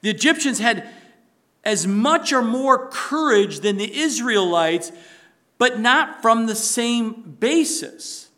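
A man preaching a sermon, building to loud emphatic stretches and dropping to a soft prayerful tone. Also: very high voice, animated, slow.

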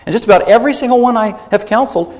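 A man's voice: very high voice, racing, darkish tone, in a large hall. A man preaching a sermon as pastor, emphatic and rebuking, driving points home by repeating them.

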